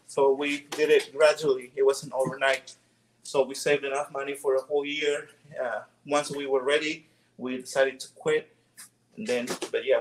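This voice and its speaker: A man's voice: fairly high pitch, background noise. A young man from Mexico with a Mexican Spanish accent, chatting casually and rambling a little about running a small decal business. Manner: relaxed and rambling, many fillers and restarts. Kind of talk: casual tutorial chat.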